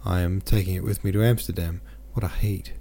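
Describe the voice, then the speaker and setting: Guy voicing a heavy-hearted young merchant, low and mellow, audiobook. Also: fairly even intonation, fairly quick, a little hiss or hum.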